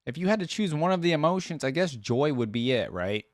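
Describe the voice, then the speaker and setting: A man's voice: fairly high pitch, very animated, brisk, no room sound, clean studio sound. A man giving casual reaction commentary as a channel host, musing and thinking aloud.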